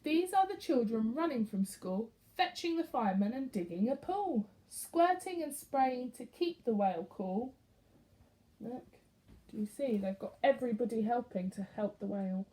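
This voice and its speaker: A woman with a fairly high voice reads a rhyming picture book to small children. Her intonation swings widely and expressively, and she breaks off to put simple questions to her young listeners. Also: expressive, normal speed, warm tone, poor audio. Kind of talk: expressive read-aloud of a rhyming children's story.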